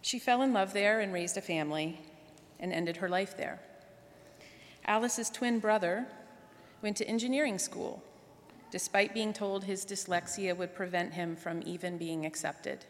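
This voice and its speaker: A woman's voice: medium pitch, unhurried, slight background noise. A woman delivering a prepared tribute speech to a congregation. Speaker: guest speaker at church. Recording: echoey hall with long reverb.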